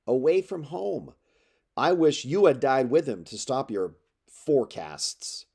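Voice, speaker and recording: A man reading aloud plays a contemptuous suitor in an epic tale. He sneers and threatens with heavy emphasis, his timbre dark and mellow. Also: mid-range voice. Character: scornful, threatening male suitor sneering at an elder. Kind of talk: dramatic epic poetry read aloud.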